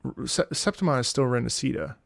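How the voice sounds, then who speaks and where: A man's voice: mid-range voice, quick pace, gravelly, noisy background. A man giving casual, off-the-cuff commentary on a game as a streamer, rambling and thinking aloud with enthusiasm.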